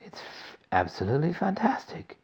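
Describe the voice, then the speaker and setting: A man's voice: sits high, huge pitch swings, moderate pace, creaky, warm tone, slightly rough, pitched above the performer's own voice, heavily acted. A man reading aloud and voicing an elderly grandfather who gasps in amazement. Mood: amazed. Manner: gasping, awed. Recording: dull lo-fi recording.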